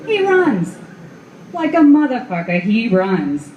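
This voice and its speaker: A woman's voice: fairly high pitch, very animated, slow pace, darkish tone. A woman performing as the narrator of a noir radio play, telling it in clipped, vivid, dramatic phrases. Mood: urgent. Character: stark female noir storyteller. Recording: echoey live room with clear background noise.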